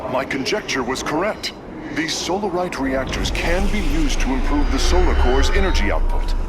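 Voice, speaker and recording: An actor playing a logical, analytical alien scientist in a video game, with formal, measured, clinical delivery. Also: male voice, mid-range voice, close to an everyday voice. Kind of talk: video game mission dialogue. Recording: loud battle noise behind.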